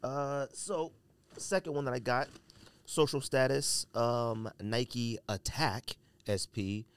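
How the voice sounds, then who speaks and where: A man's voice: mid-range voice, slow, clear, resonant tone. An American man from California hosting a podcast, chatting casually and informally with plenty of slang. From California, United States. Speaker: podcast host. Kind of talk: casual solo podcast chat about sneakers.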